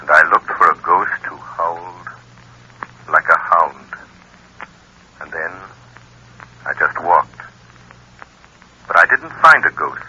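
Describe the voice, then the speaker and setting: A very low, husky and intensely forceful voice as a mysterious unseen crime fighter. He speaks in measured, reassuring but commanding tones, in vintage radio drama style. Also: animated, lo-fi sound, exaggerated voice. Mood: calm, reassuring. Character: invisible mysterious avenger, calm, commanding, protective man. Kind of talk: 1930s radio drama acting.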